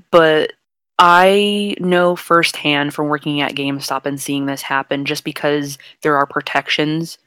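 A young non-binary podcast host with a fairly deep voice, talking frankly and at length in a casual chat. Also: fairly bright tone, smooth, no echo. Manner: earnest, frank, explains at length.

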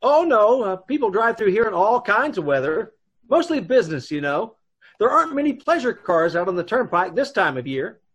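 A man playing a folksy, hesitant, chatty gas station attendant in an old-time radio drama, with a husky voice. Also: sits high, very animated, normal speed, fairly bright tone, exaggerated voice. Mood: friendly, chatty.

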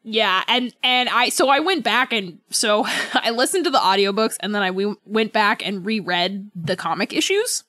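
A woman's voice: mid-range voice, moderate pace, hardly any pauses, sharp tone, dry recording, clean studio sound. A woman co-hosting a book club podcast, talking casually and conversationally as she qualifies her opinions. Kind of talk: casual book discussion chat.

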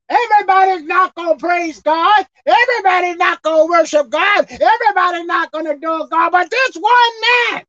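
A woman preaches a sermon in a high, swooping voice that rises and drops sharply. She works the congregation with call-and-response and acts out dialogue as she goes. The recording is dull and dry. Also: a bit bright, dry recording.

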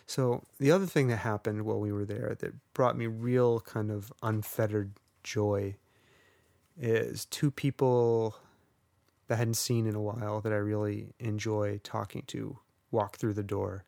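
A man with a low voice talks through his day in relaxed podcast chat. He rambles a little and pokes fun at himself. The sound is close and dry, with no room around it. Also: unhurried, gravelly, no echo. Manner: wry, rambling and self-deprecating. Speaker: podcast co-host. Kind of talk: casual chat.

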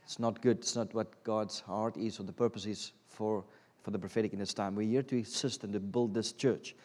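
Halting South African man preaching, low voice. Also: steady tone, a little mellow. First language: Afrikaans. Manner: hesitant, lots of ums and restarts. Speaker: guest preacher. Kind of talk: informal sermon. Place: church sanctuary. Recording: reverberant church hall.

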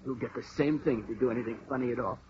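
A man's voice: mid-range voice, racing, close to an everyday voice. An actor playing a frightened, threatening teenage boy in a vintage radio drama, with a strained, shaky voice and tense, clipped delivery. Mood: threatening, desperate. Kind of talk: 1950s radio drama acting. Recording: muffled, hissy old radio recording.

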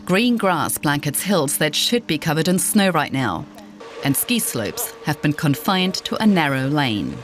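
Very low-voiced female news reporter. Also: very animated, unhurried, soft background music. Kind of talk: scripted report narration.

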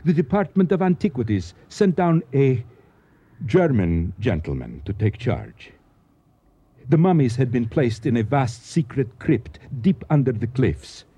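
A learned, nostalgic gentleman in a radio drama, speaking in florid, courteous phrases. The voice is deeply mellow and husky, with lively swoops of pitch. The recording is somewhat dull. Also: dry recording. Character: courtly Egyptian man, learned, nostalgic storyteller.

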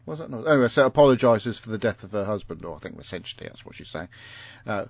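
A man's voice: on the low side, lively intonation, fast talker, vocal fry, dry recording. A man hosting a podcast in casual chat, rambling through the plot with dry teasing asides. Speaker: podcast host. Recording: dull lo-fi sound.

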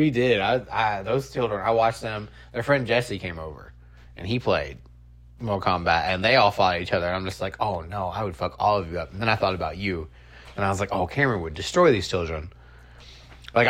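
An American man in his thirties hosting a casual comedy podcast, swearing freely and telling stories in an animated, self-deprecating way. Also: low voice. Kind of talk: casual, profane chat about movies and games.

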